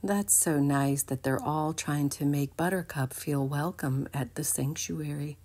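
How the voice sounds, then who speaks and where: Lady reading a kids picture book, very expressive, takes her time, kinda low voice. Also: measured pace. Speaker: read-aloud storyteller. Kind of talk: expressive children's storybook narration.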